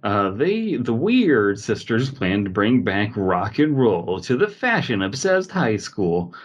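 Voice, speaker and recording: A man with a somewhat low voice talks as a podcast panelist. He is playful and sweary, building comic riffs in loose, casual conversation. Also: big pitch swings, takes it slowly, muffled recording. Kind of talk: casual comedic chat.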